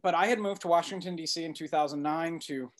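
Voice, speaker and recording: Male, middle-aged, slightly high voice, film director guest recounting his project on a video call. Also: fast. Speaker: documentary director, interview guest. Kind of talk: conversational interview answers, storytelling. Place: indoor room at home, video call.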